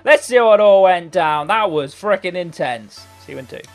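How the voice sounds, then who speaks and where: A young man with a hoarse, fading voice, commentating on his own football game match from his bedroom, swinging from muttering to shouting. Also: high voice, animated, normal speed. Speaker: gaming commentator. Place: hot bedroom. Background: background music.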